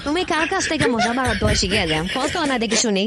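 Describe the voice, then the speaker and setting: A woman's voice: on the high side, lively intonation, fast talker, a bit bright. A woman playing a nagging, sceptical village housewife in a comic cartoon, questioning and exclaiming, with a northern Bangladeshi Bengali accent.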